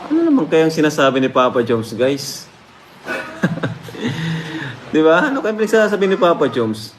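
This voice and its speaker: A man's voice: on the high side, very animated, measured pace. A man hosting a reaction video, commenting casually to his viewers and repeating phrases for emphasis. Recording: clear background noise.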